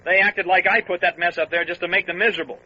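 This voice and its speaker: An actor playing an earnest deputy reporting in a clipped, matter-of-fact way over a police radio, in old-time radio drama style. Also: male voice, high-pitched, fairly even intonation, fast talker, bright, forceful tone, heavily acted. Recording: lo-fi two-way radio sound.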